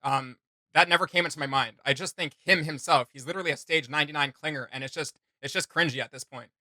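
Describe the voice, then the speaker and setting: Bright, punchy man giving blunt reactions. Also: a little high, quick pace, no echo, studio quality. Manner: blunt, emphatic, teasing. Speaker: reaction video host. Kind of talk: casual opinionated reaction chat.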